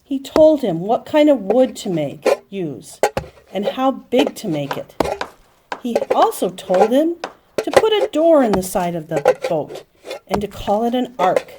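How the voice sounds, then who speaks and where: A woman telling a Bible story to young children, explaining in simple phrases with dramatic pauses and emphasis. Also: medium pitch, very noisy.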